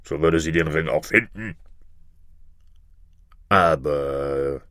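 Man voicing a deep, gruff griffin bird. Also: a bit bright, no room sound, studio quality, full character voice. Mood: scornful. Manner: slow, scornful, commanding. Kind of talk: fairy-tale storybook reading.

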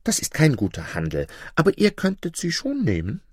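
A man's voice: on the high side, huge pitch swings, fairly quick, husky, no echo, clean studio sound, pitched above the performer's own voice, exaggerated voice. A man voicing a young caliph turned stork, musing and rueful.

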